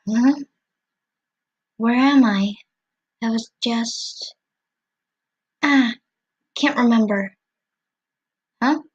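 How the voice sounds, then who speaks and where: A woman voicing a gentle, hesitant skeleton brother in a fan comic dub. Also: a little high, drawn-out words, long pauses, husky, no echo, highs cut off, heavily acted. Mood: bewildered.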